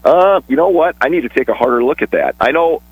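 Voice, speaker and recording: A man talking casually on a radio sports show, chatty and a bit rambling, with restarts and quick laughs. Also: a little high, lively intonation, breathy edge, dry recording, narrow, tinny audio. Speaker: sports broadcaster guest.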